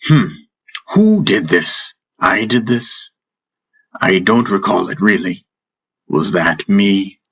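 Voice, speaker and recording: A wise old master of warriors, acted by a male audiobook reader, speaks slowly and with sly amusement. The voice is low and somewhat husky, playfully humble and deliberate, with music beneath it. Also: animated, fairly bright tone, highs cut off, heavily acted. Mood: amused, feigning innocence. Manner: mock-modest, playful, deliberate.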